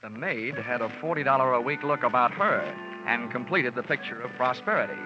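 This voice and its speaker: A sardonic male private investigator played by a radio actor in a 1940s detective show, delivering dry quips in a mid-pitched voice. The sound is dull old radio with music clearly behind it. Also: a little fast, lightly acted. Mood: dry narration. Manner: sardonic, quippy. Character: wisecracking, cynical freelance male insurance investigator. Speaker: radio drama lead and narrator.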